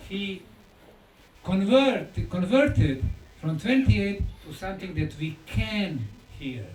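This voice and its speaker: A high-voiced man lectures with a very lively, swooping pitch. He speaks in deliberate short phrases and pauses for effect, heard from a distance in an echoing room. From Bosnia. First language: Bosnian. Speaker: lecturer and researcher. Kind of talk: conference lecture. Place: indoor lecture room at a conference. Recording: echoey room, distant mic, faint noise.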